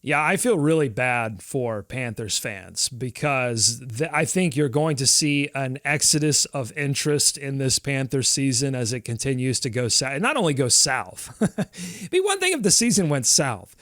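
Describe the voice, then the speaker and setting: A man's voice: mid-range voice, expressive, unhurried, fairly bright tone, no room sound, studio quality. A male sports podcast host talking in a loose, rambling way, playfully dramatic about his team's woes. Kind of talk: casual sports talk.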